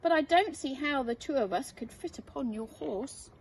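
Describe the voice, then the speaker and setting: A woman's voice: high voice, animated, moderate pace. A woman voicing a kind, resolute little princess in a children's storybook, caring and plain-spoken. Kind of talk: children's storybook read-aloud. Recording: distant mic, faint noise.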